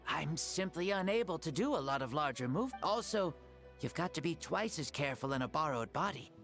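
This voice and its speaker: A man's voice: fairly high pitch, animated, a little fast, fairly bright tone. An actor voicing a breezy, self-mocking ancient male hero spirit who is borrowing a boy's body, in a game. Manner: easygoing, self-mocking, playful. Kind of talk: game voice acting. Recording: game audio with music under.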